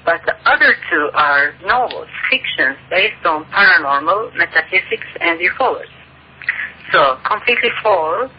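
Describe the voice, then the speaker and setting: A woman's voice: on the low side, animated, moderate pace. A woman from Turkey with a Turkish accent, a native Turkish speaker, explaining her work earnestly as an interview guest. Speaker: guest author and ufologist. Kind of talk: interview over a phone line. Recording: muffled phone-line recording with faint hiss.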